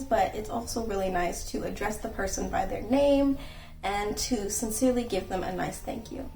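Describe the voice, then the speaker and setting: A young woman talks through etiquette tips in an earnest, gently instructive way, her voice sitting a little high. She sounds set back from the mic in an echoey room, with faint music underneath. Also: distant mic. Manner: earnest and gently instructive, acts out sample phone calls. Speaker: channel host and etiquette creator. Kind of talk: casual etiquette advice vlog.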